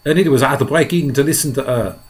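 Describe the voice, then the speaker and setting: A man's voice: medium pitch, a little fast, pitched above the performer's own voice, close to an everyday voice. A man voicing a prim, fussy female spider who tells a fretful tale in a storybook reading.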